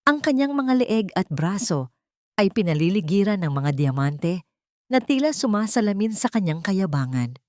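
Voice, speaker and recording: Low-voiced woman narrating a dramatic story, dry sound. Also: a little mellow, dry recording.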